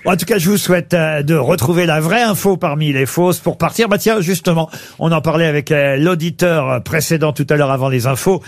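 High-voiced teasing French radio host. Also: male voice, no echo. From Normandy, France. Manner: teasing, quick, laughing often. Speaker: radio game show host. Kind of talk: lively radio banter with callers.

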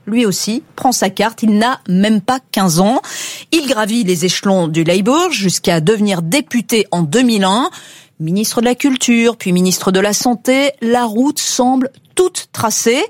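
A woman reading a scripted news portrait on the radio, in a clear, measured way. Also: medium pitch, dry recording, clean studio sound. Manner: clear, measured, narrative delivery. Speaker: radio reporter.

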